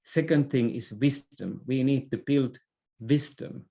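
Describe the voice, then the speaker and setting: A middle-aged man speaks as an interview guest. He offers advice in a conversational way, hesitating and doubling back over words as he builds each thought. His pitch sits in an ordinary middle range for a man. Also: measured pace, gravelly, warm tone. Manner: hesitant, repeating words as he goes. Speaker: podcast guest, business expert.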